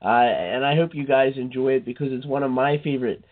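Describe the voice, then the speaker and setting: A man's voice: medium pitch, fairly quick. Congested man hosting wrestling radio. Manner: chatty, repeats himself, addresses co-host by name. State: congested with a head cold. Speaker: radio show host. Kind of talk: casual wrestling radio talk. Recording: tinny phone-like line.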